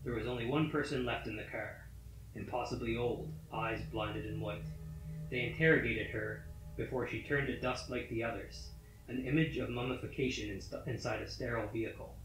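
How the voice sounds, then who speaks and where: A man reading a scripted horror story in a measured, matter-of-fact manner. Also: mid-range voice, steady tone. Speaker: story reader. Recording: roomy echo. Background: faint music.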